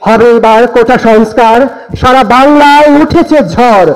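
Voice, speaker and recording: A man's voice: very high-pitched, normal speed, clear, resonant tone, poor audio. A young man with a Bangladeshi accent gives an impassioned, declamatory recitation of patriotic poetry. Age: young adult.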